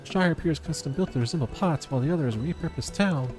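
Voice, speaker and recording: Fast-talking male game streamer. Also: fairly high pitch, a little mellow. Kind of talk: reading game dialogue aloud and casual stream chat. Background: game music.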